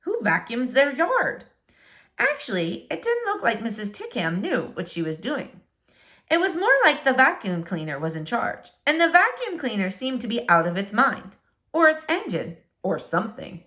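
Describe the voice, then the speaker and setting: A woman reading a children's book aloud as a storyteller, with expressive, dramatic emphasis. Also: fairly high pitch, normal speed.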